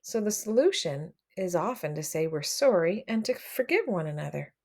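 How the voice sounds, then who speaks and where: A woman reading a picture book aloud to children, expressive and addressing them directly as she comments along. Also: lowish voice, moderate pace. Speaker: read-aloud storyteller for children.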